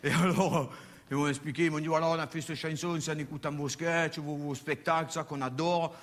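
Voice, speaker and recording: A male comedian, chatting to a live audience from a stage in a halting way that keeps restarting and repeating words. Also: a little high, fairly even intonation, a bit bright.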